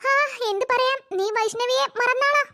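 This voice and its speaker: A performer voicing a teasing young woman in a family cartoon, with a childlike, pitched-up sound. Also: moderate pace, sharp tone, no room sound, studio quality, full character voice. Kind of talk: Malayalam family cartoon dialogue.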